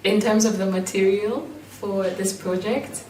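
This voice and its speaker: A woman with a British-schooled Kenyan accent, reflecting aloud to a group in a thoughtful, halting way. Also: medium pitch, fairly even intonation, a little mellow. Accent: Kenyan with British-school influence. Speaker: performer. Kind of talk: reflective personal talk in a group. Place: indoor room at an in-person group meeting. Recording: echoey room, distant mic, faint noise.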